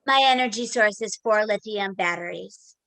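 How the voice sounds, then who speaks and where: A woman's voice: medium pitch, moderate pace, fairly bright tone, lightly acted, low-quality recording. A woman playing a polite, literal computer schoolgirl with formal, precise speech in a staged play reading. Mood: neutral, literal.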